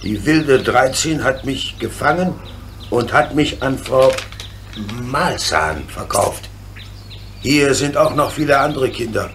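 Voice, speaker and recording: An actor playing a sensible, hearty locomotive driver with a creaky voice and plain-spoken manner in a children's radio play. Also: male voice, mid-range voice, animated, takes it slowly, fairly bright tone, slight background noise. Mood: grave reading aloud.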